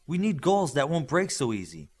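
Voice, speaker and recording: A man reading the part of a brash, athletic young hero in an audiobook, brisk, casual and self-assured. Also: on the high side, very animated, slightly rough, voice pushed up. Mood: brisk, upbeat.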